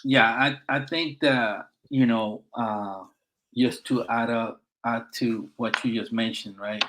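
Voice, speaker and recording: A middle-aged man with a Puerto Rican accent speaks in an emphatic, encouraging way, often tossing in "right?". His voice sits at an ordinary middle pitch for a man. He talks like a veteran guest in a relaxed podcast chat. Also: slow, noisy background. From Puerto Rico. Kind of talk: casual podcast conversation.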